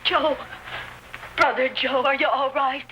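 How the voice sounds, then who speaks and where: An actress playing a feisty, devoted frontier sister with rustic American speech in an old radio Western. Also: female voice, high-pitched, fairly even intonation, unhurried, pushed and bright, a little hiss or hum. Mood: anxious. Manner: rustic, spirited, blunt. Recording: vintage radio recording, tinny.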